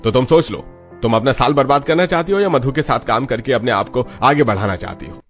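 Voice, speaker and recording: Man playing stern, fast-talking professor. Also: medium pitch, expressive, faint music behind, natural voice. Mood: stern warning. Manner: firm, admonishing. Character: stern, authoritative male college professor. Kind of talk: Hindi cartoon story dialogue.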